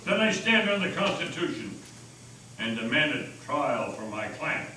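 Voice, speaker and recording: A man's voice: fairly high pitch, sing-song, measured pace, sharp tone, full character voice. Proud, indignant statesman played by a male reader, defiant stage declamation, recorded from afar with heavy echo. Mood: proud, insistent. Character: proud, indignant American statesman, thundering orator. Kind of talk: dramatic stage reading of a story. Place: meeting house. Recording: echoey hall, distant mic, faint noise.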